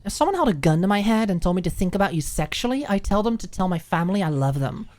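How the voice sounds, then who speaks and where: A woman's voice: medium pitch, sing-song, fast, darkish tone, lightly acted. A woman voicing a flustered, haughty and dramatic female college student in an audiobook, with prim, indignant phrasing.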